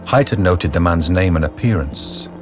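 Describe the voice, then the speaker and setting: Low-voiced male radio drama narrator over music. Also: expressive, normal speed.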